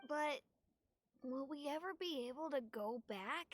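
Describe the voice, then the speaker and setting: A woman's voice: high-pitched, lively intonation, unhurried, a little hiss or hum. A woman voicing an eager, proud little boy in a video game, with a childlike, earnest and boastful way of talking. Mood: hesitant. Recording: game music under the voice.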